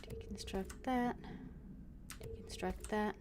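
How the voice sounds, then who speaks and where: A woman streamer talks through her gameplay in slow, quiet, half-whispered asides, thinking aloud to herself. Her voice sits mid-range, with clear noise running under the stream. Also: mid-range voice, fairly even intonation. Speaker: streamer playing a video game. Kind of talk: live gameplay commentary.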